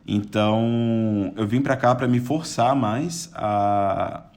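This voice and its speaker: A man's voice: on the low side, takes it slowly, darkish tone. A young Brazilian man as a podcast guest, chatting casually with lots of restarts and the odd laugh. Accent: Brazilian Portuguese.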